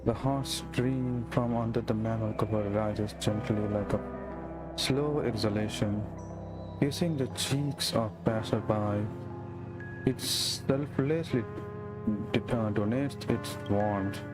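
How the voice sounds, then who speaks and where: A man's voice: lowish voice, fairly even intonation, takes it slowly, music in the background. A man giving casual game playthrough commentary, reacting off the cuff and reading on-screen text aloud with some stumbles. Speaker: game streamer.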